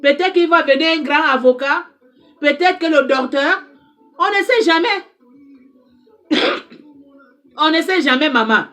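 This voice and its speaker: A woman's voice: high voice, expressive, unhurried, pauses often, sharp tone. A woman speaking French as a second language, giving an impassioned direct-to-camera address as an advice counselor, emphatic and repetitive as she exhorts her listeners. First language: not French.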